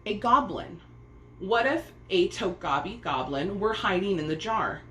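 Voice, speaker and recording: A gentle, put-upon storybook girl speaks timidly and pleadingly, voiced by a woman narrator. It has a roomy echo and faint noise. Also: mid-range voice, lively intonation, a little slow. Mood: startled. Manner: pleading, breathless gasps.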